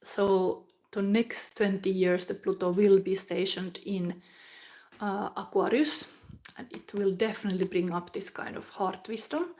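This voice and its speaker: A middle-aged woman from Finland, giving a live tarot reading in a slow, chatty way that often drops into a whisper. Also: medium pitch, a little mellow. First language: Finnish. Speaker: tarot reader.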